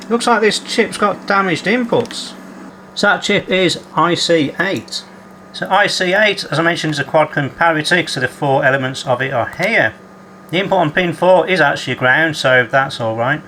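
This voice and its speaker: Bright-voiced man narrating a repair. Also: a little high, unhurried, a little hiss or hum, poor audio. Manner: methodical, reads out measurements as he works. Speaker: repair video host. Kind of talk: calm narrated workshop repair. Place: home workshop.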